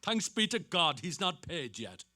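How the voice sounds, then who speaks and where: Bluff, booming Dubliner played by a male actor, theatrical stage reading, bright forceful voice with huge swings in pitch. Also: big pitch swings, a little slow, no room sound, full character voice. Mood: hearty. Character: big booming Dublin man, bluff and assured. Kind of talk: staged dramatic reading of a novel.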